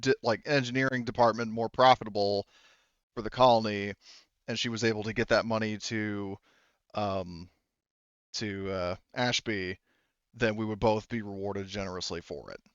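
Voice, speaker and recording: A man with a smooth, clear voice narrates a tabletop roleplaying session as game master. He improvises casually and haltingly, swearing freely. The sound is close and dry, if a little dull. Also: lowish voice, fairly even intonation, dry recording.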